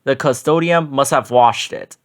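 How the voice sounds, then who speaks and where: A man's voice: medium pitch, measured pace, natural voice. A man voicing an earnest, helpful, studious young schoolboy in a children's storybook.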